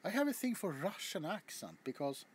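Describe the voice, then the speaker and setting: A man's voice: sits high, big pitch swings, moderate pace, a little hiss or hum. A man chatting casually to his viewers as a video host, rambling with frequent stammers, restarts and casual swearing. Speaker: ASMR YouTuber.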